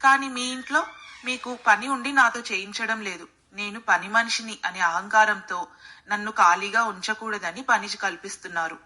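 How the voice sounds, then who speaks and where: Woman playing a loyal, clear-toned, earnest housemaid. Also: mid-range voice, normal speed, pushed and bright. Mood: calm, frank. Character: loyal, dignified housemaid, humble but frank and firm. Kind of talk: acted dialogue in a moral bedtime story.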